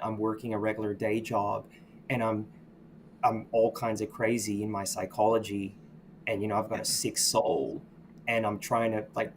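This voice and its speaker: A middle-aged man, a podcast guest from the United States, talking candidly about his past in a reflective, halting way, often restarting and saying "you know.". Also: lowish voice, a little hiss or hum. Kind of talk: candid personal storytelling.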